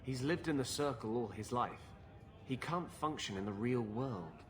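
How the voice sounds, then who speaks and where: A man acting as a blunt mage companion in a fantasy game. His voice is medium in pitch, wry and matter-of-fact, over faint ambient noise. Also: lively intonation, normal speed, lightly acted. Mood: dismissive.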